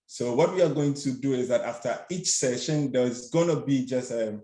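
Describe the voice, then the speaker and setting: A young man from Ghana presents over a video call in a halting, slightly nervous way, stumbling and restarting with fillers as he goes. His middle-pitched voice sits in a noticeably roomy, echoing space. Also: mellow. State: a bit nervous. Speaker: student workshop facilitator. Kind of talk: webinar presentation. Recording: roomy echo.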